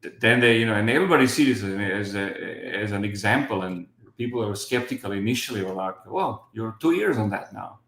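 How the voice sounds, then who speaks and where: A man's voice: fairly low pitch, animated, a little fast, vocal fry, few pauses, a bit bright, roomy sound. A man in his fifties from Croatia with a Croatian accent, a guest on a video call who talks in a rambling way with many restarts. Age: 50s. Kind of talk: casual livestream chat.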